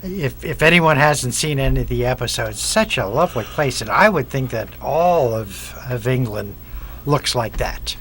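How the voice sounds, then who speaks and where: Male co-host, sharp-edged voice, searching for words, light background noise. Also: medium pitch, expressive, moderate pace. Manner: hesitant, many fillers and restarts. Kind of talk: casual radio chat.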